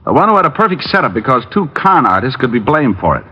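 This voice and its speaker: An actor playing a private detective who trades dry wisecracks, in a 1950s radio drama. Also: male voice, mid-range voice, sing-song, fast talker, breathy edge. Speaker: lead detective and narrator. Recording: dull old radio recording with music.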